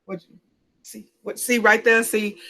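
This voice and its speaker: Woman host for a church talk panel, lively, preacherly, repeats her phrases. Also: on the high side, unhurried.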